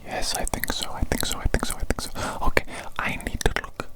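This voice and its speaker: A performer voicing an eccentric, fussy evil scientist in a breathy whisper, repeating phrases with theatrical deliberation for an ASMR roleplay. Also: a little fast, natural voice. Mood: indignant, ranting. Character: eccentric evil doctor, a fussy mad scientist tending his creature.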